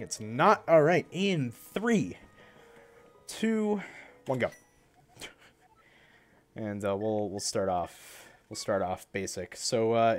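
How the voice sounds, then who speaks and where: A young man chatting casually on a live stream, a bit congested from a cold, rambling and correcting himself as he goes. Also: mid-range voice.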